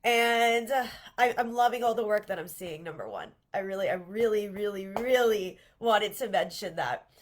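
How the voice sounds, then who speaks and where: This is a woman with a head cold, her voice congested as she hosts a live-streamed coaching workshop. She speaks earnestly and encouragingly at a middling pitch, often doubling back on her words. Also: moderate pace, slight background noise. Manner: warm, earnest and encouraging, with repeated restarts. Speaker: manifestation coach hosting a livestream workshop. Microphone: livestream camera mic.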